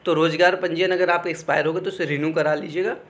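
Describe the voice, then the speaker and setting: A man's voice: fairly high pitch, fairly even intonation, fast talker. A young man, explaining things informally to students in a conversational way, often checking in as he goes. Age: young adult. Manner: conversational, repeatedly checks in with "okay". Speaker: exam guidance YouTuber.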